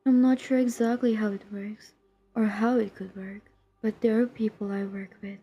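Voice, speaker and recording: Breathy, husky vampire huntress acted by a woman. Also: medium pitch, fairly even intonation, lo-fi sound, soft background music. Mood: apologetic, persuading. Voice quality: breathy, husky, often dropping into whisper. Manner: hushed, confessional, halting, earnest. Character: centuries-old female vampire hunter, guilt-ridden, haunted, determined. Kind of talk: intimate dramatic audio roleplay addressed to the listener.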